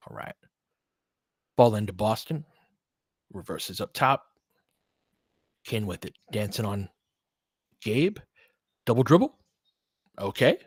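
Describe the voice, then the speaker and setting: A man's voice: on the low side, animated, slow pace, long pauses, husky, no echo. A man doing casual live basketball play-by-play in clipped phrases, with conversational analytical asides. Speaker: play-by-play commentator and host.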